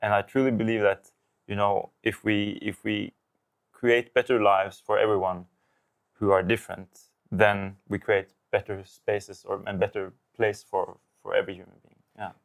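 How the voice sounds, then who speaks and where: A man's voice: lowish voice, little pitch movement, normal speed, creaky. A man from Norway, a film director, answering interview questions thoughtfully, with many fillers and restarts.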